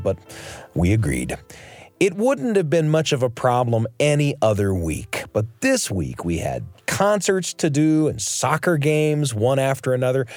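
A man hosting a radio show, telling a scripted personal story in measured, expressive phrases with dramatic pauses. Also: medium pitch, dry recording, studio quality.

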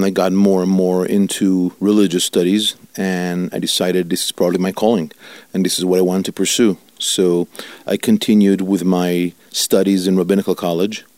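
Italian-born male rabbi, fifties, earnest and unhurried, low and warm, dry close sound. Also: no room sound. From Italy. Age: 50s. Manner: earnest, measured, stretches key words slowly. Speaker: rabbi, guest. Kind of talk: reflective interview answers.

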